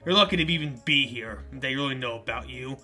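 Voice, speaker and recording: Man doing a loud sneering school bully, sharp bright voice, aggressive, faint music. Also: medium pitch, fast talker. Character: loud, mean rich-kid school bully.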